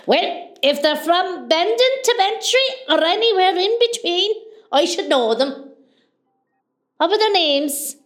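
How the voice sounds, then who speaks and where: High, swooping older West Cork woman, acted. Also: expressive, a little slow. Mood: warm, probing. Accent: Irish, West Cork. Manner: chatty, exclamatory, familiar. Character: nosy, warm-hearted older Irish mother recovering in hospital. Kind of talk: amateur radio play acting.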